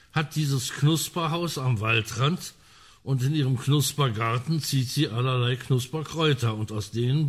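A man plays an older colonel in a radio crime play. He speaks at a middling male pitch, formally and deliberately, with sardonic courtesy. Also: close to an everyday voice. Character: dry, sardonic old colonel, courteous amateur sleuth. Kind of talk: German radio crime drama acting.

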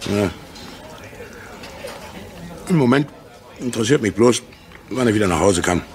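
A man's voice: fairly low pitch, close to an everyday voice. An actor playing a brash, jovial middle-aged salesman and war veteran in a radio drama, chatty and crude-tongued. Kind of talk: German radio crime drama acting.

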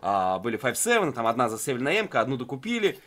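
A man's voice: medium pitch, sing-song, quick pace, fairly bright tone. A man giving live, conversational esports commentary in colloquial gamer slang. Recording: game sound behind the voice.

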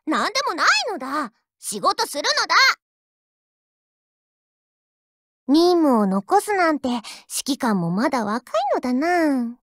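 Haughty little anime ship girl, mobile game. Piping high voice, lively inflection, bratty bragging, dry studio sound. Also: moderate pace, dry recording. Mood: flustered. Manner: boastful, tsundere, ends sentences with a verbal tic. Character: haughty, childish warship girl with animal ears. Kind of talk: Japanese anime game character voice lines.

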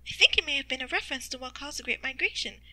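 A scholarly animal mother from a storybook, played by a woman narrator. Her voice is high and bright. She speaks tentatively, weighing each recollection. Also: expressive, very fast, exaggerated voice. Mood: uncertain recollecting. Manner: tentative, considered. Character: thoughtful mother animal, a scholarly document restorer.

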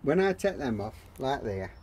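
A man's voice: mid-range voice, sing-song, moderate pace, a little mellow, breathy edge. A man, chatting plainly and bluntly in short phrases as he explains gardening on an allotment. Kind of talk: casual explanatory chat. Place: open-sided greenhouse on an allotment. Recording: noisy handheld outdoor camera audio.

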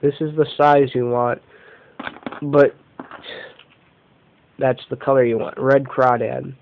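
A man giving an unscripted show-and-tell as an amateur vlogger, talking in halting, short phrases on a camera microphone indoors. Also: mid-range voice, level tone. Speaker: amateur fishing vlogger showing lures. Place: indoors, carpeted room at home. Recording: dull, muffled lo-fi home video.

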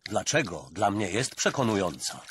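A young man playing a lanky, well-spoken, smug teenager in a cartoon sketch, over loud music. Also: on the low side, big pitch swings, moderate pace, slightly rough, exaggerated voice. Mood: matter-of-fact.

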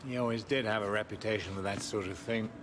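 A man's voice: fairly low pitch, steady tone, quick pace, background noise, lightly acted. An actor playing a calculating male police commander who speaks formally and measuredly. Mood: cool. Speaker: police superior. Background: game score music.

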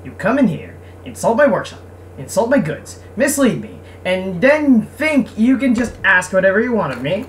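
A woman's voice: medium pitch, very animated, normal speed, lightly acted. A woman voicing a proud, touchy merchant craftsman in a visual novel, with formal, emphatic delivery. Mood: indignant. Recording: noisy, distant microphone.

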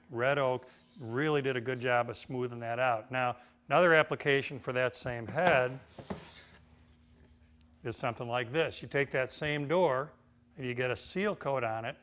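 A man's voice: mid-range voice, moderate pace, fairly bright tone, smooth. Middle-aged American man teaching, folksy. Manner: informal, folksy explaining. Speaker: woodworking instructor. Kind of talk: instructional demonstration to camera. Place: indoor woodworking shop.

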